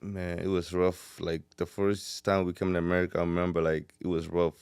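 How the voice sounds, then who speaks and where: Deep-voiced man in his thirties, relaxed. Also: a little fast, gravelly, clean tone, no room sound, clean studio sound. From Kenya. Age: 30s. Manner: relaxed, short answers with "you know" and "yeah". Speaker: podcast guest. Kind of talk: casual interview chat.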